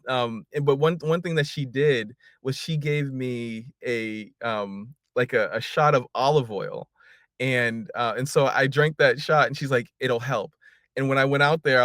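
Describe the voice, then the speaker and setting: A man, a singer, guesting on a podcast, chatting warmly and gratefully and laughing often. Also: medium pitch, dry recording, clean studio sound. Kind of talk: casual interview chat.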